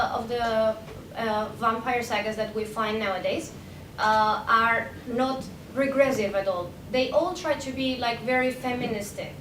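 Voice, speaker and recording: A young woman academic, answering questions at a conference in an informal way, explaining with examples. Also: fairly bright tone. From Spain. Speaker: academic presenter, PhD candidate. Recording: roomy, distant mic, noisy rumbling background.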